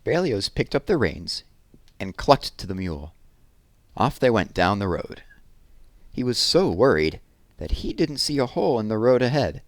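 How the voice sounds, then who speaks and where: A man reading children's poems and picture books aloud in an expressive, deliberate way, with conversational asides. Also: on the low side, no room sound.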